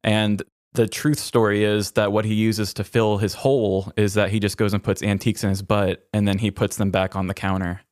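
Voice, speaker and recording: A man's voice: on the low side, little pitch movement, normal speed, few pauses, darkish tone, no room sound, clean studio sound. A man co-hosting a comedy podcast, telling stories in a casual, playful, conversational way. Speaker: podcast co-host.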